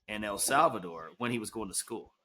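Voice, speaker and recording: A man's voice: fairly low pitch, lively intonation, fairly quick, sharp tone. A man hosting a podcast, reading a scripted intro and then interviewing a guest casually. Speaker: podcast host.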